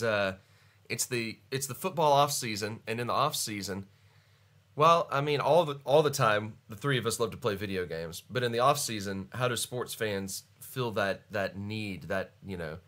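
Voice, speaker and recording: A man's voice: on the low side, a bit bright. A man hosting a podcast live stream in casual chat, with a stuffy, congested voice, rambling and laughing often. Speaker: podcast host.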